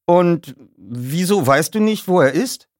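A man's voice: high voice, animated, slow, no echo, studio quality, pitched above the performer's own voice. A man voicing an uneasy, puzzled teenage boy detective in a storybook, blunt and questioning.

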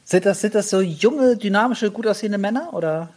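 A man's voice: sits high, brisk, darkish tone. A man co-hosting a casual podcast chat, enthusiastic and hesitant, restarting his sentences. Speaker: podcast co-host.

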